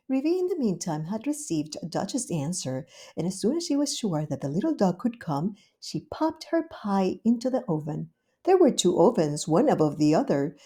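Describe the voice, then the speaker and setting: A woman reading a children's storybook aloud in a gentle, expressive way. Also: medium pitch, breathy edge. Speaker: storybook reader. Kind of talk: scripted narration of a children's story.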